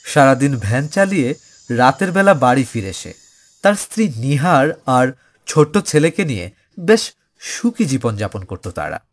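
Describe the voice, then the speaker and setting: A man's voice: medium pitch, very animated, moderate pace. A man narrating a scripted horror story in a dramatic manner.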